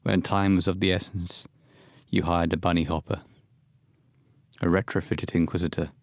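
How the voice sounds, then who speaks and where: A man's voice: deep voice, flat delivery, normal speed, dry recording, studio quality. A man reading scripted narration as the storyteller of an audio horror play.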